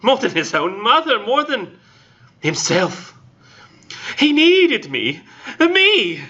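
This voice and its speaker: Man doing a grieving young woman, high breathless falsetto, pleading, lo-fi sound. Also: very animated, slow, bright, forceful tone, heavily acted.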